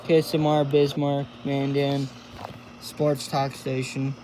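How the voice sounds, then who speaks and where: A man giving a casual hands-on product review, thinking aloud in a halting way as he demonstrates. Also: medium pitch, monotone, warm tone. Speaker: amateur gadget reviewer. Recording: noisy homemade recording with radio static.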